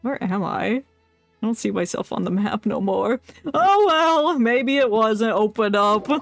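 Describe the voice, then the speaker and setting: Playful woman streamer, middling pitch. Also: lively intonation, a little slow, vocal fry, dry recording. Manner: informal, playful reactions. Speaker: game streamer. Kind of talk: casual live commentary on a video game. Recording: game music behind.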